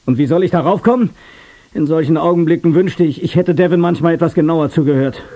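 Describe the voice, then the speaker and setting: An actor playing a cocky, wry action hero in a radio play, sardonic and self-assured. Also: male voice, fairly high pitch, fast, warm tone, lightly acted. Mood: exasperated, wry. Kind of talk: German radio play acting.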